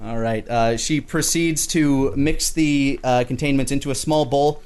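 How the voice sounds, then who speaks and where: A man with an average-pitched voice runs a tabletop game. He improvises the scene casually and haltingly, like someone describing it to friends. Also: fairly even intonation, darkish tone, clean tone. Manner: casual, halting storytelling full of "like". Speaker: game master.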